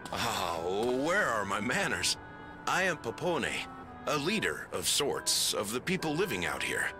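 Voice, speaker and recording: An actor playing a humble, courteous village leader in a video game, polite and affable with a halting, musing delivery. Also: male voice, medium pitch, huge pitch swings. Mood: warm, welcoming. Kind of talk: scripted video game cutscene acting. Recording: game music behind.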